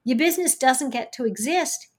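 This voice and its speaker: A woman's voice: sits high, expressive, moderate pace. A middle-aged woman hosting a podcast interview, introducing and questioning her guest in an upbeat, chatty manner. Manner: enthusiastic, chatty. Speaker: podcast host.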